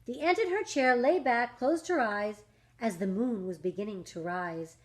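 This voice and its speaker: A woman reading a rhyming picture book aloud to young children, with dramatic emphasis, drawn-out words and questions to her listeners. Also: on the high side. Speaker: children's story reader.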